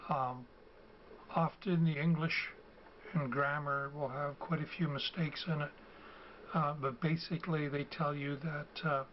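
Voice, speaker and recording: An older man, hoarse from a cold and losing his voice. He talks slowly and haltingly, filling pauses with ums and uhs, in a slightly high voice. The recording is dull and lo-fi, with a faint hum of ambient noise behind him. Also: fairly even intonation. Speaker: video host. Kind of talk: casual explanatory talk.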